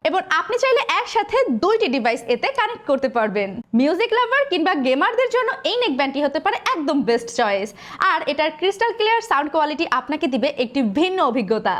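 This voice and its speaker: A woman with a Bangladeshi accent reading a scripted promotional voice-over, pitching directly to the buyer in a salesy way. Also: fairly bright tone. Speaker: product advertiser.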